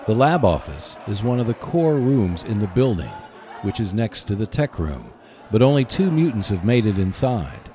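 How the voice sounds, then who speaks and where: A man's voice: on the low side, sing-song, moderate pace, dark tone, a little hiss or hum. A man narrating a scripted radio play as host, in a dramatic storytelling manner.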